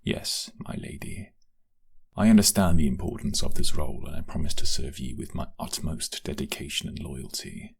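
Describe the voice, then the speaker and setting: A man playing a very deep, gravelly loyal henchman. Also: expressive, normal speed, full character voice. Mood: humble, loyal. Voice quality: gravelly, husky. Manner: formal, dutiful, earnest. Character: ambitious, deferential male guardsman serving a crime boss. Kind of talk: audio drama roleplay acting.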